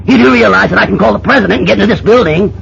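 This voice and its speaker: An actor playing a disagreeable, power-drunk older American senator with a creaky voice and a pushy, interrogating manner in a radio drama. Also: male voice, high voice, racing, a bit bright, full character voice. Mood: threatening. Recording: dull lo-fi radio drama, faint noise.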